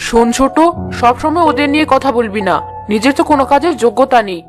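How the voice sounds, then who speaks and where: A woman voicing an irritable, sharp-tongued village mother who scolds, in a fairy-tale cartoon. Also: sits high, fast talker, soft background music, natural voice. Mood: exasperated.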